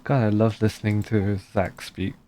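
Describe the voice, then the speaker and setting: A woman's voice: very deep voice, fairly even intonation, normal speed, mellow. A woman streamer reacting casually to a story game in short, murmured interjections. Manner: brief interjections, murmured agreement.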